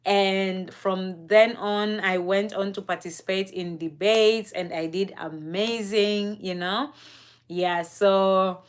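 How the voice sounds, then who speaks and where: A young woman from East Africa telling a personal story on a live stream in a reflective, unhurried way, with frequent fillers and soft laughs. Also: mid-range voice, fairly even intonation, clean tone.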